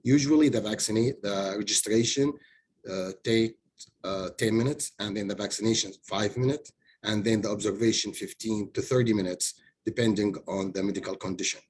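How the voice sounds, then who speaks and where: A man's voice: fairly low pitch, fairly even intonation, moderate pace, darkish tone, husky. A man from a community coalition walking webinar listeners through a process step by step. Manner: plain step-by-step explanation. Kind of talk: webinar presentation.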